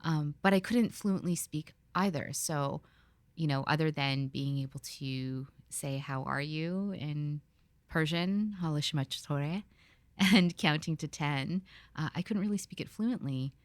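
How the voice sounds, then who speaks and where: A woman with a notably low voice hosts a podcast interview. She talks in a relaxed, reflective way with easy fillers. The sound is dry and close. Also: measured pace, no room sound. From Philippines. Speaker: podcast host. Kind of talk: conversational interview.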